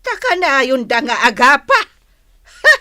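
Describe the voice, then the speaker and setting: A woman playing a fussy, exasperated older storekeeper in a radio comedy drama, given to scolding hushes and drawn-out sighs. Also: high voice, very animated, no echo, studio quality, heavily acted.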